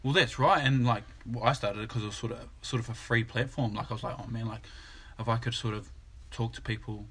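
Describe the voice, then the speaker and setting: A man's voice: mid-range voice, fairly even intonation, a little fast, a bit bright, breathy edge. A man hosting a podcast, easygoing and casual, full of "like" and "bro". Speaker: podcast host.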